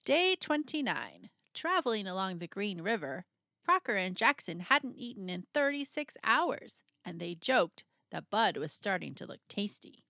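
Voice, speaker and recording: A woman reads a children's story aloud with a dramatic, expressive delivery. Her voice is bright and somewhat high, swinging widely in pitch. She uses suspenseful pauses, and the recording is dry and close. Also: creaky, no echo. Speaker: storybook narrator. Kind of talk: scripted children's read-aloud narration.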